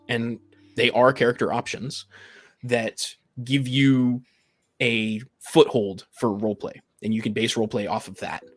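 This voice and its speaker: A man in a casual discussion, explaining his view of tabletop roleplaying haltingly and often rephrasing. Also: mid-range voice, fairly bright tone. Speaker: game master and discussion panelist. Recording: faint music in the background. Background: faint music.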